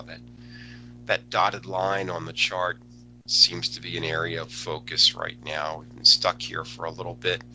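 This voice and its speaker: A man hosting a live trading webinar, talking casually with many fillers, his voice still a little low after a cold. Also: sharp tone, a little hiss or hum, poor audio. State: recovering from a cold, voice a bit lower. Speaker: forex educator hosting a webinar. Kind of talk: live market analysis webinar.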